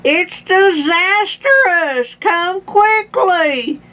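A woman's voice: very high voice, sing-song, very slow, fairly bright tone, voice pushed up, exaggerated voice, low-quality recording. A woman voicing a distraught, indignant old grandpa in a storybook, melodramatic and wailing. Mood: panicked.

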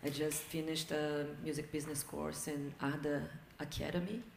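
A woman from Brazil gives a brief, plain self-introduction into a handheld microphone. Her voice sits very low, and the classroom adds echo and background noise. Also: flat delivery, normal speed, gravelly. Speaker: participant. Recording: echoey room, background noise.

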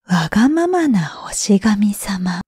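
A woman narrating bedtime stories for sleep in a gentle, drawn-out way with breathy phrase endings. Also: mid-range voice, very animated, dry recording, studio quality. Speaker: storyteller, freelance announcer.

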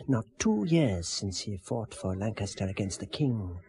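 An actor playing a sly, worldly, gossiping medieval monk in a radio drama. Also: male voice, on the low side, expressive, unhurried, mellow. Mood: gossipy. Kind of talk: 1970s radio drama acting.

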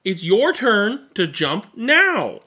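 A man's voice: sits high, huge pitch swings, slow, sharp tone, breathy edge, voice pushed up, full character voice. A man voicing an exasperated young boy in a bedtime storybook, emphatic and impatient. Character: exasperated young boy trying to fall asleep.

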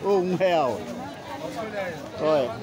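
Male market vlogger, friendly chatter with vendors, phone mic, loud crowd behind. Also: fairly high pitch, drawn-out words. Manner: chatty, greets vendors, calls prices. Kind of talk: casual walking vlog. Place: outdoor street market.

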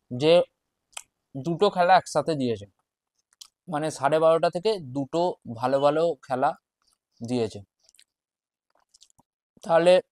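A man in his twenties with a West Bengal Bengali accent, chatting casually to camera in short, halting phrases as a fitness vlogger. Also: on the high side, darkish tone, dry recording, studio quality. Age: 20s. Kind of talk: casual vlog chat to camera. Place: small bedroom at home, indoors. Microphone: wireless lapel mic.

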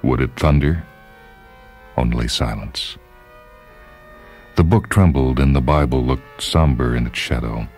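A man narrating a literary story in a measured, theatrical manner. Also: extremely low pitch, darkish tone, faint music behind. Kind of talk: dramatic literary story reading.

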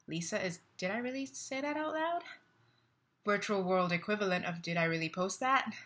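Woman acting young woman, lonely, wry, restless, bright edgy tone, dramatic play reading. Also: mid-range voice. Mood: wry, musing. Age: young adult. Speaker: actor in a staged play reading. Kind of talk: dramatic monologue read as social media status updates. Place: living room at home. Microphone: laptop webcam at close range.

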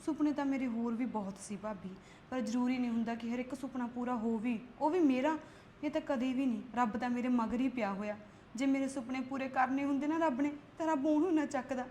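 A woman's voice: high voice, quick pace, darkish tone, slight background noise, close to an everyday voice. An actress playing a frightened young woman who pleads and protests through tears. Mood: tearful pleading. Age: young adult.

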